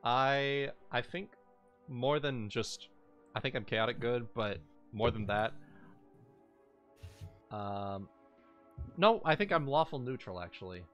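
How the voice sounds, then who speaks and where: A man's voice: mid-range voice, animated, slow pace, long pauses, fairly bright tone, highs cut off, soft background music. A man in a casual tabletop gamers' chat, talking informally and swearing freely. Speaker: tabletop role-playing game player.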